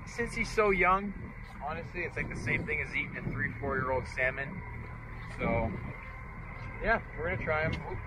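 A young man chatting casually and slangily to viewers as a fishing vlog host, often repeating his phrases. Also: on the high side. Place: small open motorboat on open water. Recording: loud outdoor boat and water noise.

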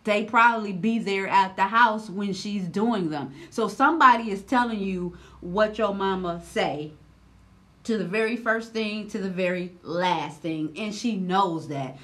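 A woman in her thirties doing casual, slangy reaction commentary, loud and expressive, her voice swinging high when riled, recorded seated indoors on a camera. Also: measured pace. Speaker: reaction vlogger.

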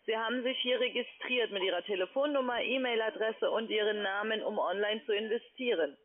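A woman on a narrow, tinny phone line works as a call center sales agent. She talks in a curt, impatient manner, with a fairly high voice. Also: fairly quick. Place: large open-plan office. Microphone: telephone.

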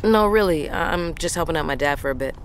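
A woman's voice: low voice, fast, lightly acted. An actress playing a tough, wry, guarded woman truck driver, speaking bluntly and naturally in an audio drama. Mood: guarded, casual. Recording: vehicle noise in truck cab.

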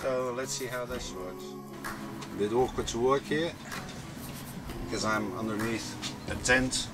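Slow-talking man building a boat. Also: medium pitch. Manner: relaxed, unhurried explaining while working. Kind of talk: casual build commentary. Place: boat under a tent outdoors. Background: music.

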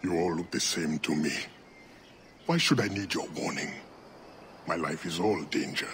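Low-voiced, proud assassin leader. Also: male voice, slight background noise. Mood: proud, wary. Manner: measured, formal, sardonic. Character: proud, stern freedom-fighter assassin leader, dry-humoured. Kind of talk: dramatic video game acting. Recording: loud music behind.

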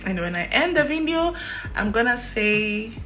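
A woman's voice: fairly high pitch, normal speed, faint music behind. A young Kenyan woman with a Kenyan accent, chatting casually to her phone camera in a small room, rambling with many fillers. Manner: chatty, rambling, lots of fillers and animated hand gestures. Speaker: YouTuber. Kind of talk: casual vlog chat to camera. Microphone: phone at arm's length.

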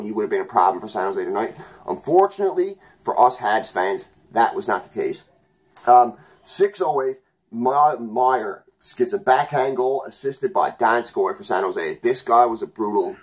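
A man's voice: on the low side, low-quality recording. A young man from Newfoundland giving a rambling, heated post-game hockey recap at home, often correcting himself mid-sentence. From Newfoundland, Canada. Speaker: hockey vlogger. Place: small room at home.

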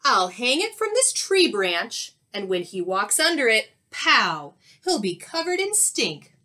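A woman's voice: a little high, big pitch swings, measured pace, pushed and bright, exaggerated voice. A woman voicing a grumpy, scheming male skunk in a children's storybook, with a huffy, growling, exclamatory manner. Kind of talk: children's storybook read-aloud.

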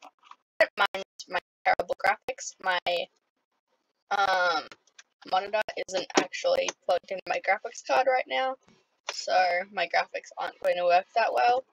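A woman with an Australian accent, chatting casually as she plays a computer game, with lots of ums and sudden excited outbursts. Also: mid-range voice, normal speed, creaky, slight background noise, low-quality recording. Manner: rambling, many ums, bursts of playful excitement. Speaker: gaming vlogger.

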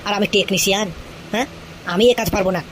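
Man as hot-headed cartoon lover, gullible, blustering, high-pitched, rapid bursts of repeated words, noisy ambience. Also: fairly even intonation, a bit bright. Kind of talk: exaggerated comedy cartoon dialogue.

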